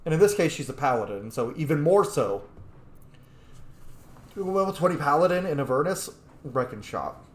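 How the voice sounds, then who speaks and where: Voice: mid-range voice, very animated, normal speed, pauses often. A gaming video host giving casual, opinionated let's-play commentary with geeky, rambling tangents. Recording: roomy echo, faint background noise.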